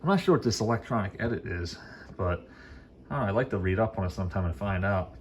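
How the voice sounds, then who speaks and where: A man's voice: on the low side, expressive, quick pace, slight background noise. A man giving a casual, unscripted demo as a hi-fi hobbyist, rambling along in an informal, enthusiastic way. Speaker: vintage hi-fi hobbyist demonstrating gear.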